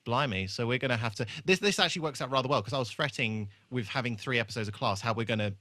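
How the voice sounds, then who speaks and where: Bright-voiced man chatting casually. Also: medium pitch, fast, dry recording. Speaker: podcast co-host. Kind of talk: casual chat.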